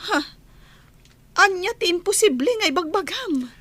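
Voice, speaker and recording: A woman acting an anxious, excitable village daughter in an Ilocano radio drama, emotional and exclaiming, with an Ilocano accent. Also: extremely high pitch, normal speed. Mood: worried.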